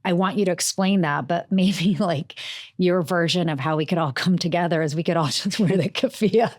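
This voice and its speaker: A woman's voice: fairly low pitch, normal speed, no echo, clean studio sound. A woman hosting a podcast interview, chatting casually and laughing, with lots of short agreements. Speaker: podcast host.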